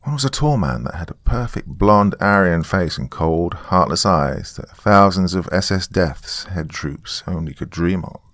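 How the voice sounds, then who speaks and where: A man narrating a dramatic first-person story in a deliberate, folksy storytelling manner. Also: deep voice, animated, fairly bright tone, dry recording.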